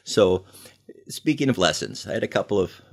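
An older American man telling personal stories in a conversational, folksy way, leaning deliberately on key phrases, as a podcast host. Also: low-pitched, expressive, vocal fry. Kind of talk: conversational storytelling with moral lessons.

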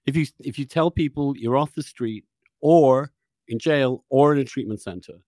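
A man's voice: medium pitch, moderate pace, warm tone, dry recording. Challenging male interviewer with a mid-range voice. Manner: challenging, pressing with questions. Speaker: interviewer, podcast host. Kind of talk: probing debate in an interview.

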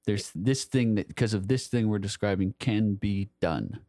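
A man's voice: on the low side, measured pace, warm tone, no echo. A man co-hosting a casual literary discussion, searching for words, repeating phrases and swearing freely. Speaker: podcast co-host.

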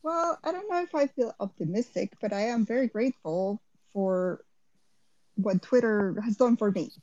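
Woman, mellow and dry. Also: mid-range voice, lively intonation, normal speed, no echo, dull audio. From Costa Rica. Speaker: guest. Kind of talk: casual conversation on a live audio chat.

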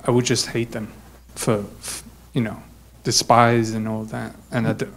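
Halting man from Germany sharing a story. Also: mid-range voice, little pitch movement. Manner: halting, many restarts. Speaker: church group member. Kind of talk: personal story in casual talk.

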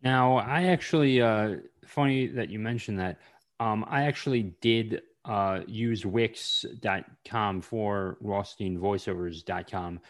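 A man hosting a podcast interview, asking halting questions with many pauses and restarts. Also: fairly low pitch. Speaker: podcast host.

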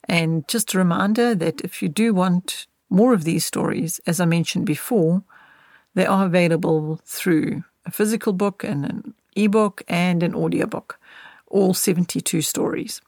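A middle-aged woman hosting a podcast in a casual, conversational way, gently wishing listeners well and repeating phrases for emphasis. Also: fairly low pitch, moderate pace, gravelly, no echo, clean studio sound. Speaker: podcast host.

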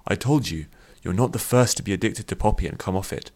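A man voicing a calm, kindly old male healer in an audiobook, reasoning in a measured, reassuring way. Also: sits low.

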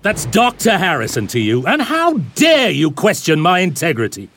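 An actor playing a pompous, threatening older institute director in an audio drama. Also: male voice, high voice, wildly swooping pitch, normal speed, a bit bright, a little hiss or hum, exaggerated voice. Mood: indignant. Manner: pompous, indignant.

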